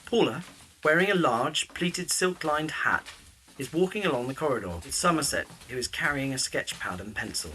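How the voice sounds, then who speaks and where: A man's voice: a little high, animated, moderate pace, pushed and bright, a little hiss or hum, rough recording. A man narrating an audio drama, reading scripted scene descriptions in the present tense.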